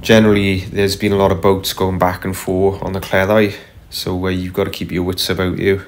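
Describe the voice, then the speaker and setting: A man narrating casually as he paddles a kayak on a river, unhurried, with frequent ums and uhs. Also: low-pitched, completely flat delivery, a little hiss or hum. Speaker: kayaker vlogger. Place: kayak on a tidal river outdoors. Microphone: camera mounted on the kayak.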